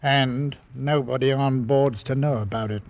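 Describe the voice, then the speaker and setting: An actor playing a gruff, cautious ship captain in an old-time radio drama, speaking in a curt, stern, clipped way. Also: male voice, mid-range voice, steady tone, unhurried, natural voice. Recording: muffled vintage radio recording.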